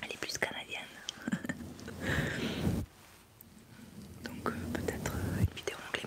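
A young woman, whispering casually into a close desk mic during an ASMR livestream, rambling and answering chat. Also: medium pitch. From France. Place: small bedroom studio indoors. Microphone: large desk mic up close.

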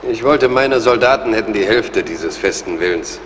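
Man acting low voiced army major, cautious n courteous, radio play, bit of noise behind. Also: animated, moderate pace, fairly bright tone. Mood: reassuring, earnest. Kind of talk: German audio drama acting.

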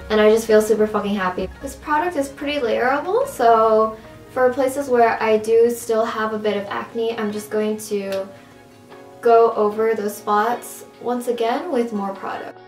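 A young woman with a raspy, strained voice chatting casually through a makeup tutorial at home. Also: on the high side, normal speed, echoey room, soft background music, rough recording. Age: young adult. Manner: chatty, upbeat, casual with fillers. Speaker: beauty vlogger. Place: small room at home, indoors.

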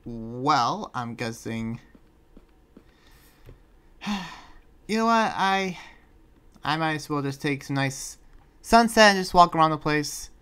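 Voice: mid-range voice, lively intonation, slow pace, pauses often, a bit bright, smooth. A performer improvising a polite, awkward young villager in a Minecraft roleplay, talking in a hesitant, rambling way. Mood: weary, casual.

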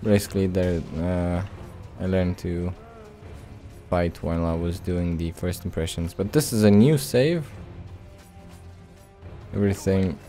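A man's voice: low-pitched, a little slow, pauses often, warm tone, soft background music. A man giving casual, laid-back gameplay commentary in short phrases as he plays. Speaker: let's play host.

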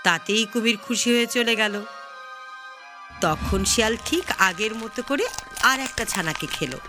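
A woman's voice: a little high, lively intonation, a little slow. A woman narrating a children's story expressively, with music behind her. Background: background music.

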